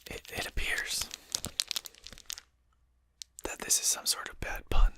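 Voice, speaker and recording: A performer voicing a courteous, genteel vampire in a whispered ASMR roleplay, speaking formally and deliberately in an unvoiced, breathy whisper. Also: pushed and bright. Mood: reasonable, explaining. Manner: formal, polite, deliberate phrasing. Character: courteous, genteel vampire host lecturing a captured hunter.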